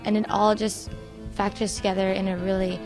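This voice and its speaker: A woman's voice: mid-range voice, flat delivery, fairly quick, over music. A teenage girl from Florida, chatty and reflective about making friends at camp. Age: teens. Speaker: camper.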